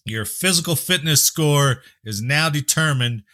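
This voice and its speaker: A man's voice: fairly high pitch, measured pace. A man co-hosting a casual podcast, reading out headlines and joking, laughing often. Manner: laughs often, animated delivery. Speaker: podcast co-host.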